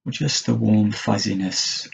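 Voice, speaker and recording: A man guides a mindfulness meditation in a low, creaky voice. He speaks gently, leaving long pauses between short phrases. The recording is dull and slightly muffled. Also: steady tone, slight background noise. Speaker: meditation teacher.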